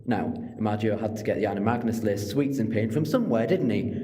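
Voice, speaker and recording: An actor playing a clever, cheeky alien time-traveller hero in a sci-fi audio drama, with a wry, commanding and self-assured manner. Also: male voice, fairly low pitch, fast talker, dark tone, natural voice. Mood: cheeky, explaining. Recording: long cavernous hall reverb.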